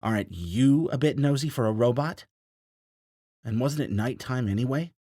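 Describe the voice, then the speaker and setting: A man's voice: mid-range voice, expressive, moderate pace, mellow, no echo, voice pushed up, close to an everyday voice. A man voicing a hungover, wry male spaceship drifter who teases his robot. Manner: wry, teasing.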